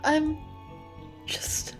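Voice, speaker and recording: A woman's voice: fairly low pitch, steady tone, a little slow, creaky, a bit bright, soft background music. An actress playing a bitter young woman stuck running her family's hardware store, resentful and close to tears. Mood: despairing. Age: young adult. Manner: resentful, tearful, uses like as filler. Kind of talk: dramatic dialogue.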